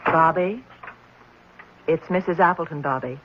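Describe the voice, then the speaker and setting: A woman's voice: low voice, a little slow. A stern, matter-of-fact orphanage administrator in a 1970s radio drama. She explains things plainly, like an official. The old recording is dull, with a faint layer of noise. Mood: brisk. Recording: muffled, noisy vintage radio recording.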